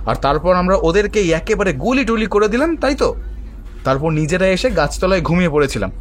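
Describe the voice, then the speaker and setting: A man's voice: high voice, expressive, fast. A man playing a scheming, sarcastic young local fellow in an audio horror story, questioning and scoffing in colloquial speech. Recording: faint music behind the voice. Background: faint music.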